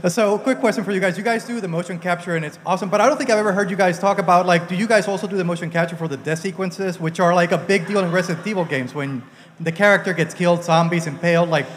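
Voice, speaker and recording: A man asks a rambling, casual question from the audience. He talks quickly in a high voice, and the hall adds echo and noise. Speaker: audience member asking a question. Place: large indoor convention hall. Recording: echoey hall, noisy, audience microphone.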